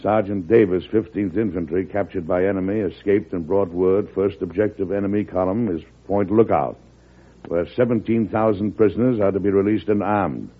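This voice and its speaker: An actor playing a weary, resolute American president in an old-time radio drama, deliberate and plain-spoken. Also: male voice, low-pitched, husky. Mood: grave, composed. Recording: muffled, tinny old radio broadcast recording.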